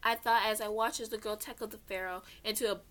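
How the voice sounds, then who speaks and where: A woman's voice: medium pitch, fairly even intonation, fast, a bit bright. A woman reading a story aloud as an amateur, giggly, often stumbling over words and correcting herself. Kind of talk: read-along narration with character voices.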